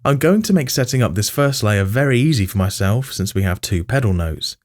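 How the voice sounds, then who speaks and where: A man's voice: fairly low pitch, animated, brisk. A man narrating a scripted music production tutorial as its host.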